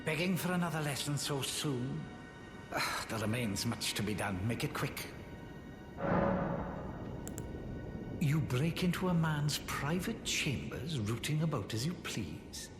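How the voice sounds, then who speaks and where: A man's voice: fairly high pitch, expressive, moderate pace, close to an everyday voice. A man acting as a haughty, condescending sorcerer in a fantasy video game, with a pompous, theatrical drawl. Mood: scornful, conspiratorial. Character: haughty, condescending male sorcerer, sly and scornful puppet-maker. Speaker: preceptor of sorcery. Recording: echoey reverberant hall with game music.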